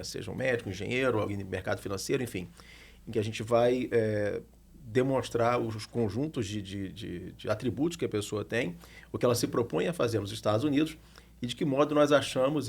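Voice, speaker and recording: Man, Brazilian accent, creaky husky voice, mid pitch, explanatory podcast talk, hesitant repetitions. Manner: explanatory, repeats words while thinking. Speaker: immigration lawyer.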